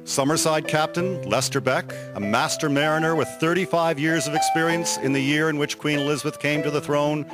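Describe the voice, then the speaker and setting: A man narrating a scripted dramatic story in a measured, solemn way. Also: mid-range voice. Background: music.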